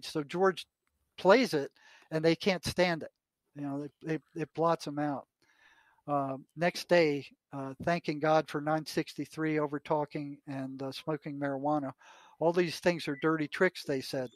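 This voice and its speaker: An older American man in conversation, telling anecdotes and reading aloud from notes in a halting way, often repeating his words. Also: fairly high pitch, no room sound. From United States. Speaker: retired psychotherapist, podcast guest.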